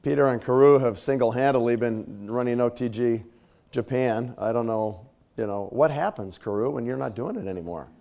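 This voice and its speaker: A man's voice: mid-range voice, normal speed, vocal fry, dark tone. Man with a scratchy voice coaching a crowd. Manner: conversational, casual fillers and tag questions. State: slightly scratchy voice, clearing throat. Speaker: speaker at a leadership training. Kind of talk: motivational talk to a live audience.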